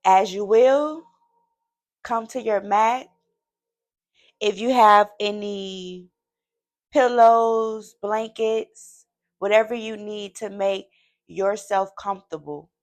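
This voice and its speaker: Slow-paced woman guiding yoga relaxation. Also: medium pitch, steady tone. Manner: soothing, deliberate, short measured phrases. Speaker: yoga session host and instructor. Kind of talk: guided relaxation and motivational yoga talk.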